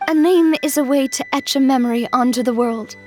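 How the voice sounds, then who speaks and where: A woman voicing a young gang boss who speaks softly and in a measured, heartfelt way. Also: sits high, faint music behind, close to an everyday voice. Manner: soft-spoken, measured, emotional. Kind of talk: game voice acting.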